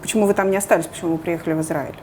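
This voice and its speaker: A woman's voice: fairly low pitch, steady tone, racing, a little mellow, slight background noise. A woman interviewer asking short, direct questions. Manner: brief prompting questions.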